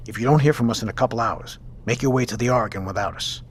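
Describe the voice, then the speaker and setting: A man's voice: mid-range voice, fast talker, slight background noise, natural voice. An actor playing a decisive male squad leader on a scouting mission, speaking in a clipped, commanding way in an audio drama. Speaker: team commander.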